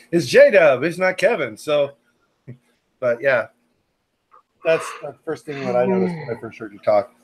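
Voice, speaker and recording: A man with a West Coast accent and a slightly raised voice talks in a loose, rambling way, stumbling over words and circling back. He sounds chatty and relaxed, like someone hosting a casual group call. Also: on the high side, measured pace, long pauses, slightly rough. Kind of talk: casual chat.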